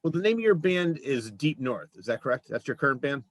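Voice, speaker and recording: A man's voice: mid-range voice, very animated, brisk, dry recording. A man hosting a local music talk show, chatting enthusiastically with his guest. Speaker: show host. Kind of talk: casual interview chat.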